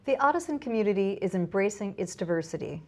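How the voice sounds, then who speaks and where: Woman reading local news script, medium pitch. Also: animated, fast talker, darkish tone.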